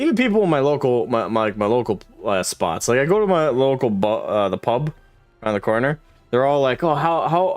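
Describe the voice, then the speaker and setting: A man's voice: mid-range voice, dry recording. A man in his twenties streaming a game live, chatting casually with his chat in a rambling, self-deprecating way and laughing often. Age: 20s.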